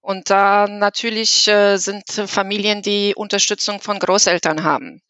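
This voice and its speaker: A woman's voice: mid-range voice, fairly even intonation, normal speed, a bit bright, dry recording. Polish-accented woman, hesitant, muffled sound. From Poland. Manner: hesitant, many fillers and restarts. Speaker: employee guest. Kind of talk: podcast conversation. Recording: dull lo-fi sound.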